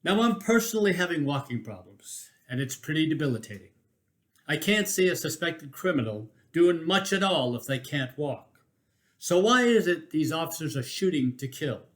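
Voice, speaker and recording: A man's voice: a little high, big pitch swings, normal speed. A man reading a first-person essay aloud as an emphatic, rhetorical storyteller. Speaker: reader of a written personal chronicle.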